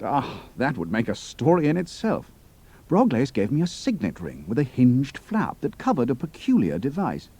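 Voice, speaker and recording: An actor playing a cool, capable young pilot and spy, speaking in a clipped, matter-of-fact way. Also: male voice, mid-range voice, dark tone, breathy edge, heavily acted. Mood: matter-of-fact recounting.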